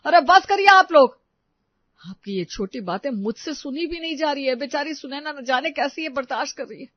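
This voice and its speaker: A woman's voice: high voice, animated, moderate pace, dry recording, pitched above the performer's own voice. An earnest young man, voiced by a woman, argues with impassioned, righteous insistence in a family melodrama. The recording is dry and a little muffled. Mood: indignant. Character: earnest, indignant young man defending a wronged widow. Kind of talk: Hindi audio story melodrama.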